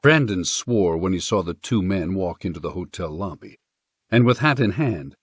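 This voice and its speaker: A man narrating a western story as an audiobook, in a measured, expressive storytelling manner. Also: sits low, breathy edge, no room sound, studio quality.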